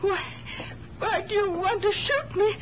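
An actress playing a flustered, fearful woman in a radio drama, plaintive and halting. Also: very high voice, heavy background noise. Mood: terrified, pleading. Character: frightened woman pleading with an attacker. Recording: muffled radio recording under loud music.

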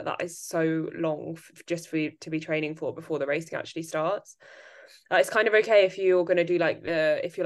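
A woman hosting a casual podcast chat, animated and rambling, with many "like" fillers. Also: sits low. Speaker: podcast host.

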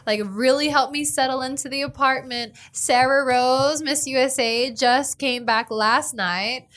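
A woman in her twenties with a light American-tinged accent, chatting brightly as an interview guest and laughing often. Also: sits high, steady tone, unhurried. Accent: American-tinged English. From Australia and the Philippines. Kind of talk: interview chat.

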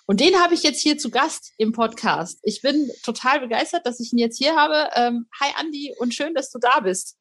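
A woman's voice: high-pitched, normal speed, a bit bright. Chatty, enthusiastic female podcast host. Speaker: podcast host and music journalist. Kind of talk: upbeat podcast hosting and interview.

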